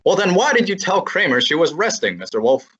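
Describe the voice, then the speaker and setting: A woman's voice: on the low side, big pitch swings, a little fast, fairly bright tone, slightly rough, exaggerated voice. Wisecracking young assistant, a woman doing him. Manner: breezy, sarcastic. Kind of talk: student radio drama acting. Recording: dull lo-fi recording.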